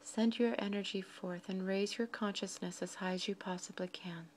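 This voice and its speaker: A woman with a mid-range voice guides a meditation. Her delivery is soft, breathy and deliberate, set out in slow, short phrases with pauses between them. Noise is clearly audible behind her. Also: medium pitch, fairly even intonation.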